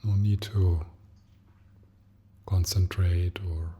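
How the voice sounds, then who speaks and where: A man leading a guided meditation as a teacher, speaking gently and softly in short, unhurried phrases with long pauses and a breathy, husky tone. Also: low-pitched, flat delivery, slight background noise.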